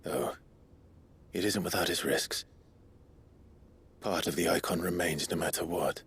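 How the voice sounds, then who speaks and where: A man playing a grim but compassionate warrior in a video game, speaking in a husky, measured, earnest voice. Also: low-pitched, fairly even intonation, a bit bright, heavily acted. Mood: grave, earnest. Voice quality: husky, gravelly. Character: grim, compassionate male warrior, adult, quietly resolute. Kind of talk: video game cutscene acting.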